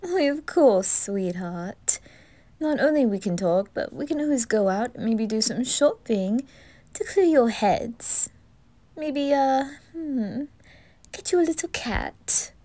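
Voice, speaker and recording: A woman's voice: on the high side, animated, takes it slowly, warm tone. A woman voicing a flamboyant, doting, motherly reaper in an ASMR fan roleplay, gushing with endearments.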